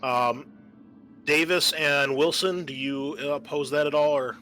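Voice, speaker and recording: A man's voice: fairly high pitch, fairly even intonation, measured pace, bright, forceful tone. A man refereeing a tabletop game casually as game master, in a hesitant, apologetic way.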